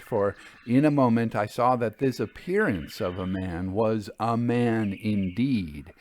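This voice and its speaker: A man narrating classic literature as an audiobook, in a measured, formal and theatrical way. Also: medium pitch, darkish tone.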